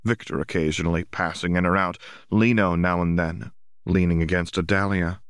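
A man with a low-pitched voice narrates a novel for an audiobook. His reading is scripted and literary. The recording is dry, with very little room sound. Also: steady tone, normal speed, clean tone, no room sound.

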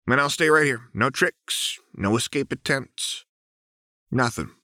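A man's voice: mid-range voice, measured pace, sharp tone, dry recording, studio quality, voice pushed up. A man voicing a manic, mischievous young woman prisoner who taunts with sly, breezy remarks. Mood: taunting.

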